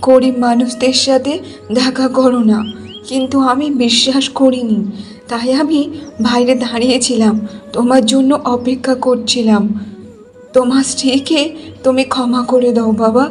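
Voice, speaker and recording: A woman voicing a poor, humble old village woman in a cartoon, slow and halting, pleading with quiet dignity. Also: high voice, little pitch movement, faint music behind, natural voice. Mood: gentle, pleading.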